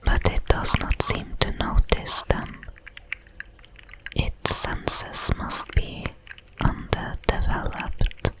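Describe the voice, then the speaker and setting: A woman voicing a calm, watchful alien predator in a breathy whisper, with formal, measured diction for an ASMR audio roleplay. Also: low-quality recording. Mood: curious, watchful. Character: many-legged alien predator, calm, watchful, protective, coldly curious.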